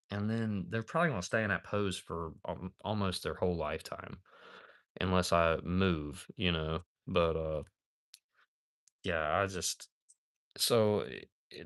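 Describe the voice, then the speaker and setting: A man's voice: low voice, fairly bright tone, clear, resonant tone, dry recording, studio quality. A man in his thirties chatting casually on a live stream while he builds a model kit, laid-back and rambling, calling viewers dude and man. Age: 30s.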